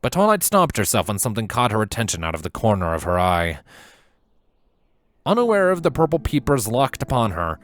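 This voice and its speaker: A man narrating a scripted story in a measured, dramatic storytelling manner. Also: fairly low pitch, sing-song, dry recording. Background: music.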